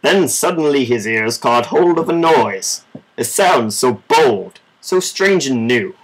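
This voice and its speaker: A man reading a children's story aloud in an informal, measured amateur way. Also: medium pitch, animated, a crowd in the background, rough recording. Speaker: storybook reader.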